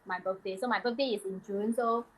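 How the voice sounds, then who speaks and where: A woman's voice: medium pitch, fairly even intonation, a little fast, slight background noise. A Singaporean woman hosting a live stream, chatting casually and coaching in a loose, friendly way.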